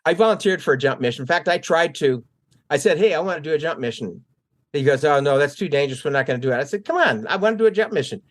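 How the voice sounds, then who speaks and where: A man's voice: a little high, lively intonation, quick pace. An older man, a military veteran being interviewed, tells stories casually and acts out remembered dialogue as he goes. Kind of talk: casual war-story telling.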